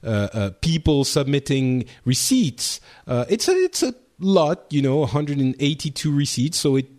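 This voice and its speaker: A man's voice: on the high side, big pitch swings, normal speed, a little mellow. A man co-hosting a gaming podcast in casual chat, rambling with many fillers and restarts. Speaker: podcast co-host.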